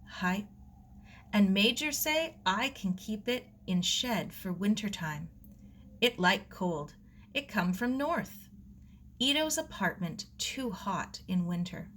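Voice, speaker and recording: A woman voicing a gentle, proud old Japanese gardener who speaks clipped, broken English with a Japanese accent in a storybook reading. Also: medium pitch, lively intonation, slow, a little hiss or hum. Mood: gentle, instructive.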